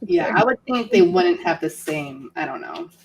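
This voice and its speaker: A woman chatting casually about books in a halting, tentative, informal way, as co-host of a livestream. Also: sits low, huge pitch swings, highs cut off, noisy background. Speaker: co-host of a book readalong livestream.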